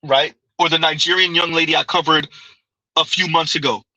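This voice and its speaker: Bright-voiced man riffing on a live stream. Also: fairly high pitch, fairly even intonation, fairly quick, no room sound, poor audio. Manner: conversational, repeats "right?", laughs often. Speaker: streamer reading viewer comments. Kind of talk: casual live-stream commentary.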